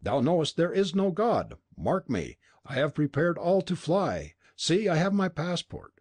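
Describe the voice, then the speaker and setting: A man's voice: a little high, sing-song, unhurried, darkish tone, husky, pitched above the performer's own voice, exaggerated voice. A man voicing a bitter, scornful young male robber who sneers and accuses in an audiobook reading. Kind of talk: audiobook dialogue.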